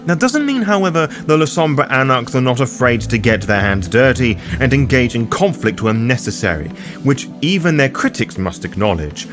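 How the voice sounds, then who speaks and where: A man's voice: mid-range voice, lively intonation, normal speed. A man hosting a tabletop roleplaying lore guide, reading scripted explanatory narration. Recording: voice over loud music bed. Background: music.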